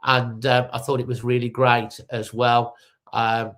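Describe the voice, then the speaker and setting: A man's voice: mid-range voice, flat delivery, unhurried, a bit bright. A middle-aged man with a stuffy nose from a cold, hosting a casual fan-channel live update with many ums and restarts.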